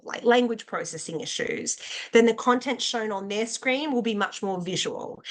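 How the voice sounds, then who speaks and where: An Australian woman gives a steady, explanatory webinar talk to fellow clinicians. Her pitch sits in an ordinary middle range, and she works through each point methodically, now and then pausing on a filler or restarting a phrase. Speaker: clinician presenter. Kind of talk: webinar presentation.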